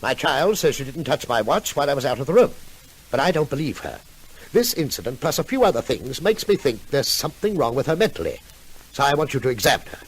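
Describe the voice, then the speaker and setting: A man's voice: medium pitch, big pitch swings, fast talker, husky, rough recording. An actor playing a fussy, exasperated father in an old-time radio comedy sketch. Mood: fussy, worried. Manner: fussy, stammering repetitions when flustered. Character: flustered, exasperated father, suspicious of his daughter. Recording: old radio recording, faint audience.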